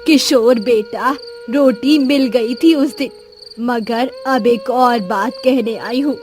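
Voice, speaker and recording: A woman voicing a mysterious, kindly old village woman who speaks gently and solemnly, giving blessings in an animated moral story. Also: fairly high pitch, takes it slowly, slight background noise, pitched below the performer's own voice. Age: older.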